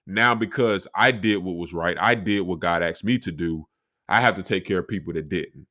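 Deep-voiced man hosting a solo podcast. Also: normal speed, pushed and bright. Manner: reflective, faith-filled, conversational. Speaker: podcast host.

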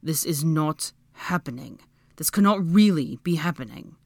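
A woman's voice: sits low, animated, unhurried, gravelly, no room sound, clean studio sound, exaggerated voice. Woman voicing a snarling, irritable young male wizard. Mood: pleading disbelief. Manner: growling, shouting through gritted teeth.

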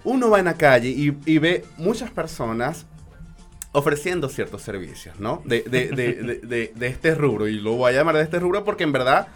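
A man's voice: mid-range voice, big pitch swings, normal speed, faint music behind. A man hosting a live radio interview in a conversational way, often repeating and restarting his phrases. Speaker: radio show host.

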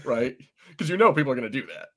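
A man's voice: mid-range voice, sing-song, fast, pushed and bright. A man hosting a podcast with casual, jokey banter and reviews, often crude and sweary. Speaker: podcast host.

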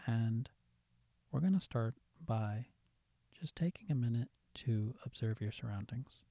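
A soft-spoken man leading a guided meditation with gentle, soothing, calm instructions. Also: mid-range voice, measured pace, no echo. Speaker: meditation guide, podcast host.

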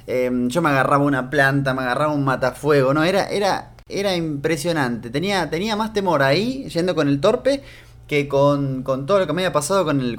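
Argentine man telling a funny story. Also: on the high side. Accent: Argentine Spanish. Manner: animated, humorous storytelling with frequent restarts. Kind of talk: casual storytelling monologue.

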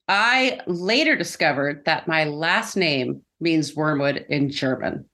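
Middle-aged female podcast co-host, slightly low-pitched voice, casual conversational delivery. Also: lively intonation, slow pace, bright, forceful tone.

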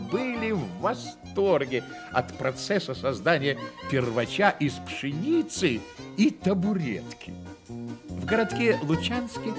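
An actor playing the wry, theatrical narrator of a satirical radio play, delivering scripted narration expressively. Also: male voice, a little high. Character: wry male storyteller of a satirical novel.

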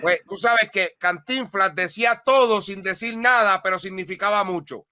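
A man's voice: high voice, normal speed, pushed and bright. A man, co-hosting a casual talk and explaining things emphatically, repeating words as he goes. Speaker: podcast co-host. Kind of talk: casual chat.

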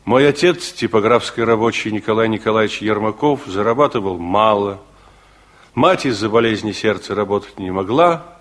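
An actor playing an elderly, earnest war veteran reminiscing in a theatrical, declamatory 1960s radio-play style with deliberate pauses. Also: male voice, on the low side, a bit bright, natural voice. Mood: bitter, reminiscing. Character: elderly reflective war-veteran grandfather looking back on his life. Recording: 1960s radio drama recording with music.

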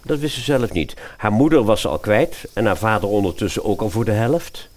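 A man's voice: on the low side, expressive, fairly quick, darkish tone. A man narrating a scripted fairy-tale radio play as its storyteller.

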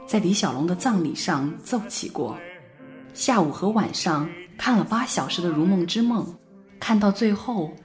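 Female podcast presenter, lowish voice, reflective scripted narration with faint music underneath. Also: darkish tone.

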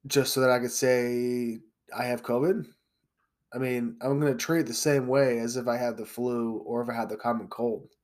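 A man's voice: medium pitch, fairly even intonation, normal speed, a little mellow. Congested male podcast host, blunt and opinionated monologue. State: congested, a little sick.

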